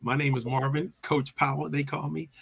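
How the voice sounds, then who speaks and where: A man's voice: fairly high pitch, flat delivery, normal speed, gravelly, a bit bright, husky, muffled recording. A man from Maryland hosting a casual online business show over a video call, talking in an upbeat, enthusiastic way with frequent restarts. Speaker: host.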